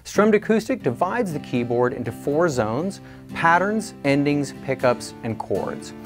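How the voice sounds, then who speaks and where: Male product reviewer for a scripted instrument demo: animated intonation, clear explanatory delivery, music underneath. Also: fairly high pitch, a little slow. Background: music and instrument demos.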